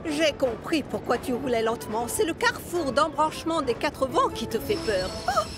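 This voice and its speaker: A sensible, nudging cartoon railway carriage. The voice is high and animated, with loud music underneath. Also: female voice, normal speed. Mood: prodding. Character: sensible, prodding female talking railway carriage.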